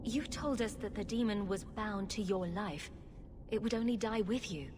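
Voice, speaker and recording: A woman's voice: medium pitch, normal speed, darkish tone, lightly acted. A woman as a wry, sardonic rogue heroine. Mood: exasperated, sarcastic. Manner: sardonic, direct. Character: wry, sarcastic adventurer woman, a rogue hero. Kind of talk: video game dramatic acting. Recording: faint background ambience noise.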